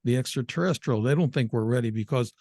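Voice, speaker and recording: A man's voice: medium pitch, quick pace, darkish tone, no echo, studio quality. A man in his seventies hosting an interview, talking hesitantly and agreeably with frequent restarts. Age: 70s. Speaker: host. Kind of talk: conversational interview.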